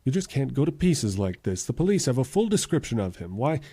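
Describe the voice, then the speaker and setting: An actor playing a steady, placating fiance in an old-time radio drama. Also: male voice, mid-range voice, brisk, husky. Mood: soothing. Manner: placating, reasonable. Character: steady, reasoning fiance soothing his sweetheart.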